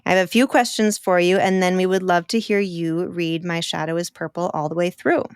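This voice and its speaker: A woman hosting a children's podcast, talking to kids in a slow, emphatic, encouraging way. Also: fairly low pitch, steady tone, clear, resonant tone, no room sound, clean studio sound. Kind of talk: enthusiastic talk to children.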